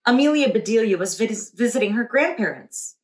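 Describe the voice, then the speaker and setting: A woman teacher reading a picture book aloud to young children with deliberate expression. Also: a little high, expressive, darkish tone. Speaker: preschool teacher. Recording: roomy echo.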